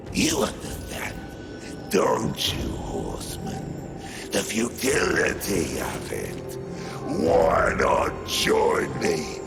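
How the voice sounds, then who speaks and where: A man voicing a huge, lazy, mocking demon of sloth in a game cutscene, with a gravelly, languid, theatrical drawl. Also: low-pitched, exaggerated voice. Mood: languid indifference. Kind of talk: video game cutscene acting. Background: loud music.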